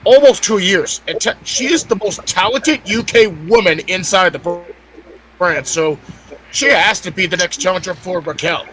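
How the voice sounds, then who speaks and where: A man's voice: high voice, moderate pace, fairly bright tone, poor audio. A man giving casual sports commentary as a podcast panelist, insistently repeating and rephrasing his points.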